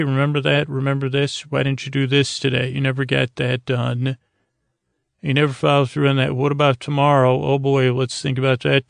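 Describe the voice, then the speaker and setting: Male bedtime podcast host, heavy vocal fry, dry recording, meandering self-deprecating delivery with halting, unfinished thoughts. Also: medium pitch, dry recording. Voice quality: gravelly, creaky. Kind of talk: rambling bedtime podcast monologue, casual.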